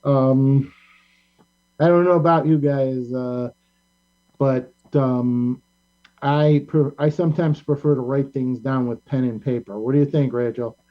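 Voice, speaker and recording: A talk show host in a casual group chat, speaking in a halting, rambling way at a middling pitch. The audio is dull and lo-fi, as from a video call. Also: fairly even intonation.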